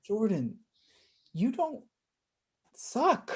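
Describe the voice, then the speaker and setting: A woman's voice: fairly high pitch, wildly swooping pitch, extremely slow, a little hiss or hum, full character voice. A woman playing a wounded, defensive, self-blaming younger brother in a naturalistic staged play reading. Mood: softly reassuring.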